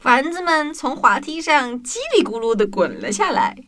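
A woman narrating a scripted children's story in an expressive way, as the presenter of an audio drama. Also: sits high, normal speed, noisy background. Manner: expressive, addressing young children.

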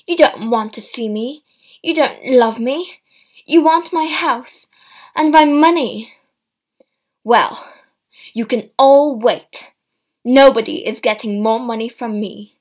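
A woman reading aloud, voicing an indignant fifty-year-old mother who scolds her family loudly and bitterly about money. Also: high-pitched, expressive, unhurried, vocal fry, exaggerated voice. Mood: bitter outburst.

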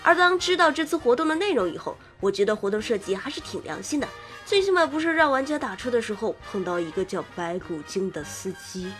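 A woman host reading scripted game news in an expressive presenter's manner, slipping in wry, playful asides. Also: a little high, fast talker. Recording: music under the voice. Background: background music.

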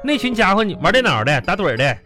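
Boastful, swooping Northeastern Chinese husband. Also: male voice, high-pitched, big pitch swings, fast talker, pushed and bright, full character voice. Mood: joking. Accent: Northeastern Chinese Mandarin. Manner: folksy, stammering, wisecracking. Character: teasing, boastful, bumbling middle-aged working-class husband. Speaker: radio comedy actor and host. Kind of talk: live comedic radio drama dialogue. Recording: studio broadcast with music bed. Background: music.

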